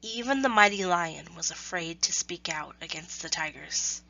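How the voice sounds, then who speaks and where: A woman reads a children's story aloud in scripted narration, with expressive, deliberate phrasing. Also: on the low side, vocal fry, muffled recording.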